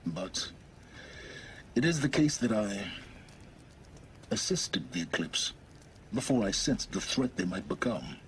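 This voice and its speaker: Cold scheming guy in a game, lowish voice that moves around a lot, noisy bg. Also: heavily acted. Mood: cool. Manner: clipped, commanding, dry. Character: secretive scheming male guide, cold and curt.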